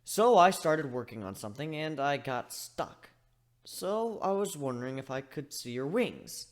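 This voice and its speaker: A man's voice: on the high side, expressive, unhurried. A man voicing a chatty, wry griffin who narrates a fan fiction story in a conversational, rambling way. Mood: musing, rambling. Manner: conversational, rambling, self-interrupting.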